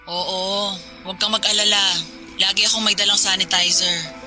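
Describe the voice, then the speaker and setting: A Filipino woman playing a calm, skeptical working wife in casual, conversational radio drama dialogue. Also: medium pitch, moderate pace. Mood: reassuring. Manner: casual, reassuring, mildly dismissive. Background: music.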